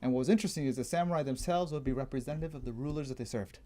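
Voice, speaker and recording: A man with a mellow, relaxed voice of middling pitch talks through history as a guest in a casual conversation. He explains informally and wanders between points, thinking out loud as he goes. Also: rapid-fire. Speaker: guest martial arts enthusiast explaining history.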